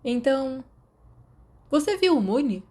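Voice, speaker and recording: A woman playing a brisk, friendly, easily flustered teenage boy in an audiobook, energetic and casual. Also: high voice, pitched above the performer's own voice. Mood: brisk, upbeat. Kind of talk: audiobook character dialogue.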